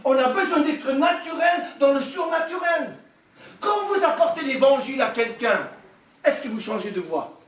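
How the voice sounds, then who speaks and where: An older man from Scotland, preaching a humorous, animated sermon with asides. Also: very high voice, moderate pace. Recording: echoey hall, distant mic.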